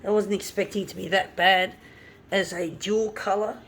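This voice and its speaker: A woman, hoarse from a flu with a blocked nose, chatting and swearing freely as she works. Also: medium pitch, fairly even intonation, normal speed, a bit bright, slight background noise. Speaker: artist. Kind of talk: casual talk while working.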